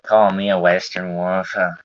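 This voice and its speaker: A man with a thick American Southern drawl chats loosely with his livestream viewers and swears now and then. His voice sits on the low side, and the recording sounds dull and lo-fi. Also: level tone, moderate pace. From Southern United States. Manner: rambling, laid-back, casual swearing. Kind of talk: casual livestream chat with viewers.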